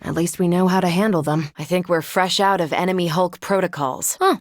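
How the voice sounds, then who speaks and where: A woman's voice: on the low side, fairly even intonation, fairly quick, dry recording, clean studio sound, close to an everyday voice. An actress voicing a confident, wry female superhero with an American accent in a video game, self-assured and conversational. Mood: determined. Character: confident, wry adult female superhero pilot, warm but tough. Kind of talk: video game character voice lines.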